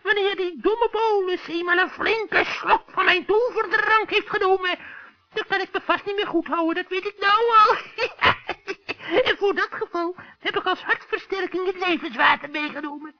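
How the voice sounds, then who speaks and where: Very high, cackling old witch. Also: normal speed. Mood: hysterical glee. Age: older. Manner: gloating, cackling, talks to herself. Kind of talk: 1960s children's radio play acting. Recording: dull lo-fi old radio recording.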